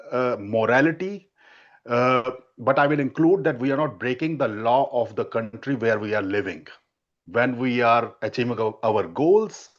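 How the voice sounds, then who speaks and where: Indian-accented man on a dull mic. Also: mid-range voice. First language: Hindi. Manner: halting, many restarts and fillers. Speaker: dating coach. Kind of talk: online debate.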